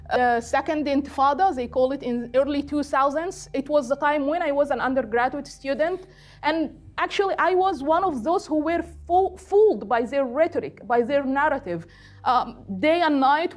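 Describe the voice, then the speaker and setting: Egyptian woman, arabic accent, high voice, talking politics off the cuff, earnest and deliberate, faint music. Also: darkish tone. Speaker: political activist and guest speaker. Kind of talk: public talk on politics, speaking off the cuff.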